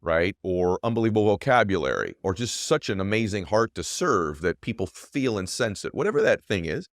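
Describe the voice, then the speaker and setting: A middle-aged man with a deep voice, hosting a show and giving an emphatic motivational monologue that often asks "right?". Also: big pitch swings, a little fast, no room sound, clean studio sound. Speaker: podcast host.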